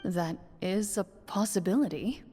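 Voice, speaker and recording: Actress as a formal young woman duelist, deadpan, measured, game master narration, long hall reverb, music behind. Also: mid-range voice, close to an everyday voice. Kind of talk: video game voice acting, scripted narration.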